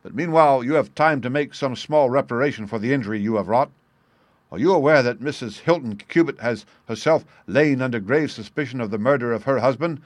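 A man's voice: medium pitch, dry recording, natural voice. A man voicing a masterful, composed detective in an audiobook, speaking in a precise, formal and authoritative way. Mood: cool, firm. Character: masterful English detective, composed, stern and assured. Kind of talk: audiobook character dialogue.

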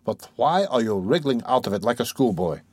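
A sardonic, brooding young man, played by a male audiobook reader, with a mid-range voice and expressive inflection. He sounds irritable and scheming, in a dry recording. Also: mid-range voice, a little fast, no room sound. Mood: sly teasing. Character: irritable, scheming young former law student, mocking and anxious. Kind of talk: dramatised audiobook reading of a classic novel.

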